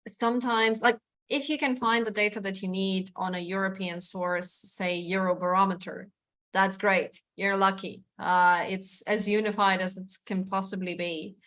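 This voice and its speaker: A woman's voice: medium pitch, steady tone, normal speed, fairly bright tone. A woman speaking as a panelist in an academic discussion, chatty and self-deprecating, with many fillers.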